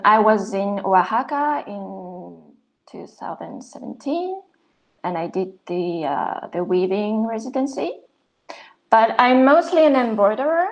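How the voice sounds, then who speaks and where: A woman introducing herself as a guest artist on a video call, pausing often with "um". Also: medium pitch. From France. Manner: hesitant, many fillers. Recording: dull lo-fi call audio.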